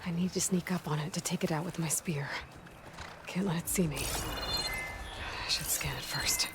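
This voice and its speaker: A woman voicing a determined, guarded young hunter in a video game, speaking earnestly and deliberately, often dropping toward a whisper. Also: sits low. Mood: focused, hushed. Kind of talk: video game voice acting, hushed self-narration.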